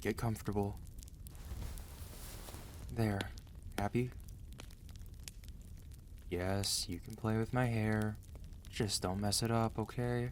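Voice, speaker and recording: A man acting out a weary, protective mafia boss husband in an ASMR roleplay, commanding and dry yet gentle and intimate. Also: on the low side, fairly even intonation, slow, pauses often, slight background noise. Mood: gentle, teasing. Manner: tired, authoritative, dry, intimate.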